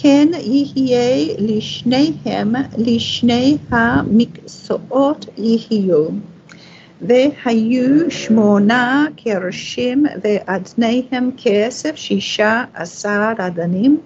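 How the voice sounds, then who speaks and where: A woman reading scripture aloud as a Torah reader, phrase by phrase in a careful, measured way. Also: on the high side, darkish tone, a little hiss or hum. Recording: dull, lo-fi sound.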